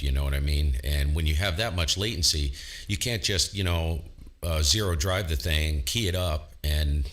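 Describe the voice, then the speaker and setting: Very deep-voiced ham radio host. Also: male voice, fairly bright tone. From Vermont, USA. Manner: rambling, chatty, filler-heavy. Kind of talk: casual radio chat.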